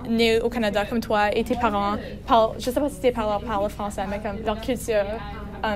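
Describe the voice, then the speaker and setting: A teenage girl, a student making a long point in French during a class round-table discussion, filling her speech with "comme". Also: on the high side. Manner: fluent, peppered with "comme".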